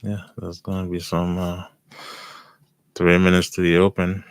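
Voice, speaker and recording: A man with a raspy, hoarse voice, giving calm live commentary as he day trades and thinks aloud. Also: deep voice, a little slow, dry recording.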